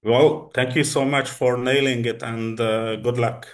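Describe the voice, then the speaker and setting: A man from Hungary with a Hungarian accent, interviewing as a film critic and speaking English haltingly, filling gaps with "uh". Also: mid-range voice, steady tone. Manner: halting, searching for English words with many fillers. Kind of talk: press interview questions.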